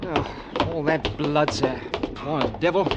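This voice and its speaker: A man's voice: a little high, steady tone, takes it slowly, dull audio, background noise. An actor playing a distressed, deferential male ship's officer in an old radio drama. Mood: horrified.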